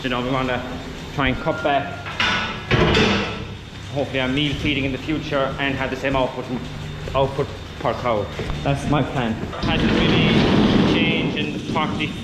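A man's voice: mid-range voice, steady tone, moderate pace, long pauses. A man in his twenties with an Irish accent, chatting casually as a dairy farmer filming a vlog while he works. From Ireland. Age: 20s. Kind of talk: casual farm vlog chat while working. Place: large metal-roofed milking parlour. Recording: echoey milking parlour, loud machinery noise.

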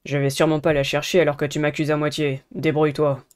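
Surly high-school rebel boy played by a woman, low pitch, curt and irritated, for a dating game. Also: little pitch movement, quick pace, darkish tone, lightly acted. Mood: annoyed.

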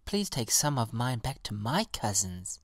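A woman voicing a gentle, patient, cheerful little violet flower in a children's story, soothing and optimistic. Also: rumbling low, big pitch swings, measured pace, no echo, clean studio sound, exaggerated voice. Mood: contented.

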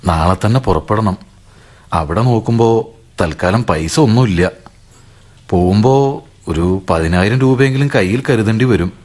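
A man's voice: on the low side, normal speed, pauses often, a little mellow, natural voice. A man with a Kerala accent playing an earnest, respectful but determined nephew in a radio drama. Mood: hesitant. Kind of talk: Malayalam radio drama acting.

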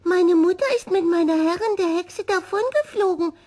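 A small, gentle witch's kitten from a children's storybook, played by a woman. He speaks in a raised, high voice with an innocent, earnest manner. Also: normal speed. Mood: friendly, earnest.

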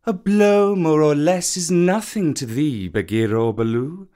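A man's voice: a little high, dark tone, voice pushed up, natural voice. A man playing Kaa, a hissing old python. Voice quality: hissing, slow and courteous. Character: wary, proud old male python.

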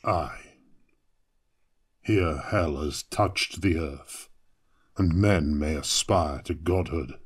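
A man voicing a grim, ambitious Chaos warrior in dark fantasy audio fiction, solemn and declaiming, his voice pitched down. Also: drawn-out words, pauses often, slightly rough, heavily acted. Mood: grim resolve.

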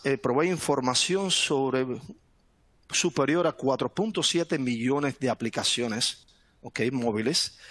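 A middle-aged man from Cuba giving a webinar presentation over a headset from home, relaxed, often repeating words and adding "¿no?". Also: mid-range voice, no echo. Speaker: guest speaker, sales manager. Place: home room indoors. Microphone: headset on video call.

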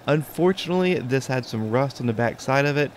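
A man narrating an HVAC lesson in a plain, step-by-step way, pausing now and then with an "uh". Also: medium pitch, expressive, a little hiss or hum. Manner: plain, step-by-step explaining with occasional "uh". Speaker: HVAC instructor. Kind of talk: explanatory voice-over narration.

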